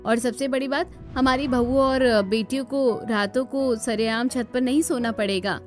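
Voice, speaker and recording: A woman's voice: fairly high pitch, fairly even intonation, moderate pace, soft background music, close to an everyday voice. A woman voicing a spirited, outspoken young village daughter-in-law in a cartoon. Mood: earnest, persuasive. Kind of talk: Hindi cartoon dialogue acting.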